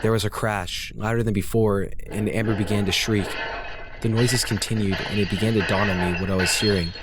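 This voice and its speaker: A man's voice: fairly low pitch, steady tone, fairly quick, noisy background. A man reading a first-person horror story as a dramatic scripted narration, grave and intense.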